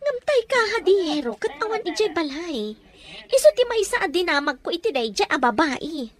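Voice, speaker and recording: A woman's voice: very high voice, big pitch swings, moderate pace. A woman playing a bossy, cruel female captor in an audio drama, commanding and scornful with a Filipino accent. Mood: scheming. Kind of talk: Ilocano audio drama acting.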